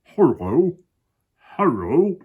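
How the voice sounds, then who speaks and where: A man's voice: on the high side, big pitch swings, drawn-out words, slightly rough, narrow, tinny audio, voice pushed up, heavily acted. A man voicing an indignant, disapproving hippopotamus in a children's storybook, exclaiming and scolding. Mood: friendly, suspicious.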